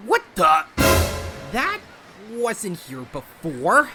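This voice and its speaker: An actor as a scrappy raccoon boy, animated. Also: medium pitch, drawn-out words, over loud music, exaggerated voice. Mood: startled. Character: scrappy, hot-headed young male raccoon adventurer. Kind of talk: audio drama acting with narration.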